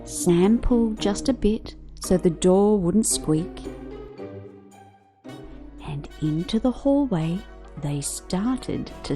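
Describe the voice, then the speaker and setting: A woman reading a children's picture book aloud, with expressive, rhythmic delivery of the verse. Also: mid-range voice. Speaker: storyteller. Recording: music behind the voice.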